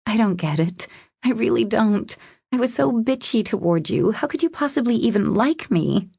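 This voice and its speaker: A woman narrator voicing a guarded, vulnerable woman in an audiobook romance, speaking softly and hesitantly as she confides. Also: a little high, expressive, normal speed, creaky, husky, no room sound, clean studio sound, voice pushed up, heavily acted. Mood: bewildered. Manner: hesitant, confessional, soft. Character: vulnerable, guarded young woman opening up to her lover.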